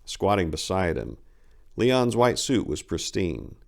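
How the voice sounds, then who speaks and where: A man's voice: low-pitched, sing-song, slow, gravelly. A man giving a theatrical, emphatic dramatic reading of a short story as narrator.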